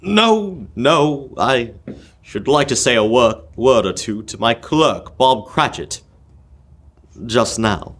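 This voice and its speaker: A man playing a wistful, easily moved old miser in a radio play, halting and exclamatory. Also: medium pitch, lively intonation, a bit bright. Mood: defensive, troubled. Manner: halting, exclamatory, stammering with emotion. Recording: music under the voice. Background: music.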